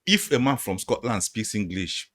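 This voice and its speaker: A man's voice: lowish voice, lively intonation, moderate pace, fairly bright tone. A man, a guest analyst in a conversational interview, explaining emphatically and repeating his phrases.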